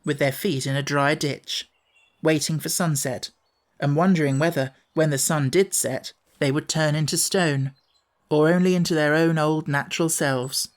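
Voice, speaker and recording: A woman's voice: sits low, steady tone, moderate pace. A woman reading a scripted children's story as an audiobook narrator.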